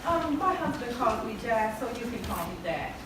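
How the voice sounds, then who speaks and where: Echoey, fairly high earnest wife played by an actress. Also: female voice, sing-song, moderate pace, background noise. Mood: earnest, troubled. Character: earnest, devoted wife with a troubled marriage. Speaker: stage play actor. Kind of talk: stage play acting. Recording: echoey stage, distant mic, loud music.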